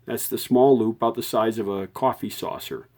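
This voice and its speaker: A man hosting an informal repair tutorial, explaining technical details off the cuff in a halting way and often correcting himself. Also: fairly low pitch, steady tone, a little mellow. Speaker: host of a vintage radio repair tutorial. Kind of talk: unscripted hands-on technical explanation.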